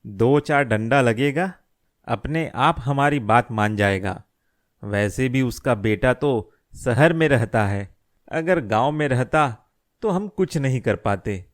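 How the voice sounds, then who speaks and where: Slow-talking menacing village thug played by a man. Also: mid-range voice, lively intonation. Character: menacing village thug scheming to seize an old shop. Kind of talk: Hindi moral cartoon story dialogue.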